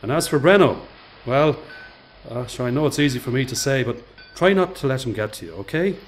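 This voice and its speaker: A kindly Irish tradesman played by a man in a radio play, with a mid-pitched, very animated delivery. He explains things informally and encouragingly. There is light workshop noise behind him. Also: brisk, lightly acted. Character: patient, kindly male tradesman mentoring a young apprentice.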